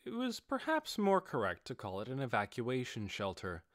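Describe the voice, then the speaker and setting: A man narrates a fantasy audiobook from a script. His pitch is mid-range and moves in a very animated way, and the sound is close and dry with no room around it. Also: moderate pace, dry recording.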